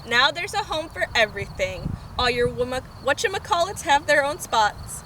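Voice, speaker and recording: A fussy, organized boy from a children's storybook, acted out by a woman reader. He is high and very lively, bossy and emphatic in how he instructs. The recording is noisy, made outdoors on a camera. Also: moderate pace. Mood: instructive. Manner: instructing, emphatic, screeching when upset. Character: bossy, organized boy, fussy cousin who panics easily.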